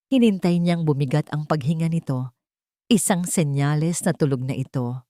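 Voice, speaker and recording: Woman reading a drama audiobook, lowish voice, dry close sound, measured with dramatic pauses. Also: dark tone, no room sound. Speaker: audiobook narrator. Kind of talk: dramatic scripted story narration.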